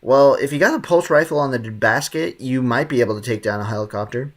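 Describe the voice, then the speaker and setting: A man chats off the cuff while streaming a game. His voice sits at an ordinary pitch, and he talks in a slangy, irreverent, joking way as he reacts. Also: quick pace. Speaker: game livestreamer. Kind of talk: casual chat over gameplay, reacting and answering viewers.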